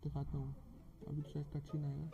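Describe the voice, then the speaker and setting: Fast, very dark-voiced man streaming. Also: on the high side, monotone, creaky, highs cut off, a little hiss or hum. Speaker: live game streamer. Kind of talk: casual livestream commentary.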